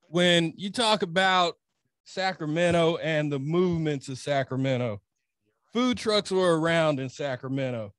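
A man's voice: on the high side, clean tone, no echo. A man, hosting a casual podcast from a studio. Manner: hyped, animated introductions. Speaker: podcast host. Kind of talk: casual chat. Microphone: studio podcast mic.

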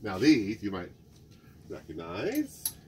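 A man curator chatting casually about clothes on a rack, often pausing to search for names, recorded by a camera in a museum basement storage room. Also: lowish voice, wildly swooping pitch, crowd noise. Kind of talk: casual show-and-tell chat.

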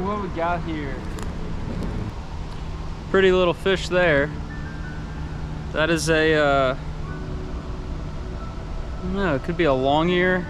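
A man's voice: on the high side, rough recording. A man, chatting casually in a fishing vlog while he casts by an outdoor creek. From Texas. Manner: easygoing, excited, talks while casting. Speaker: fishing vlogger. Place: outdoors by a creek under an underpass. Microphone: camera mic, held close or filmed from a few steps away.

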